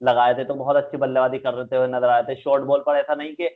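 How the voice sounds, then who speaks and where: A man's voice: mid-range voice, level tone, fast talker. A young man giving conversational cricket match analysis over a video call. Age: young adult. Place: indoors, small room, video call. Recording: thin phone-like video call audio.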